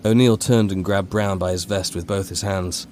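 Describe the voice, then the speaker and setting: A man reading a scripted horror audiobook as narrator, in a measured, dramatic storytelling manner. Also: low voice, steady tone, a little mellow, a little hiss or hum.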